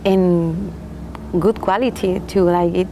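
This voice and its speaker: A young Chilean woman, a winemaker, speaking unhurriedly and haltingly in an oral history interview with soft laughs. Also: on the low side, steady tone, a little hiss or hum, poor audio. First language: Spanish.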